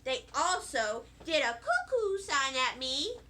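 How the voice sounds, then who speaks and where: A woman reading a children's book aloud in an animated, deliberate storytelling manner. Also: sits high, a little hiss or hum. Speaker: storybook reader. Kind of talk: expressive children's book narration.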